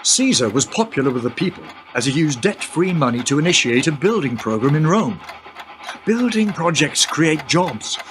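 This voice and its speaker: A man playing a knowing guide who explains history to a young woman in a radio play, in an explanatory, unhurried way. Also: on the high side, very animated, fairly bright tone, a little hiss or hum. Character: wise older male guide through history, knowing. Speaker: narrator-guide.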